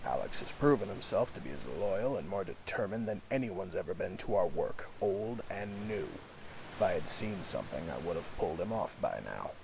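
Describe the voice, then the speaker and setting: A man acts out a commanding man with secrets to keep in an audio drama. His voice is low and gravelly, his delivery terse, measured and defensive. There is slight noise on the recording. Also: big pitch swings, darkish tone, full character voice, poor audio. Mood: guarded. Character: commanding, guarded man running a secret suit program. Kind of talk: amateur audio drama acting.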